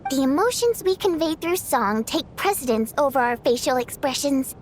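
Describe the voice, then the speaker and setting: A woman voicing a playful female water imp stage performer in a game, lively and teasing. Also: sits high, a little hiss or hum. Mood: curious. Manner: lively, teasing, quick to protest. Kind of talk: video game voice acting.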